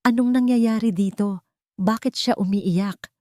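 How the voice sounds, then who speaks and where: A woman's voice: mid-range voice, a little slow, warm tone, no echo, pitched above the performer's own voice, natural voice. Woman acting cold wealthy madam, curt, accusing, dismissive, audio story, dry recording.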